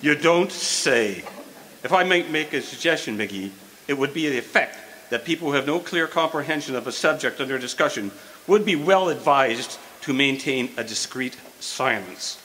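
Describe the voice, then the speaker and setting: An actor playing a pompous, long-winded small-town mayor with formal, wordy speech in an old-style radio comedy. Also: male voice, mid-range voice, animated, moderate pace, sharp tone, a little hiss or hum, rough recording. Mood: courteous, condescending. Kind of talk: 1940s radio comedy acting, live re-creation.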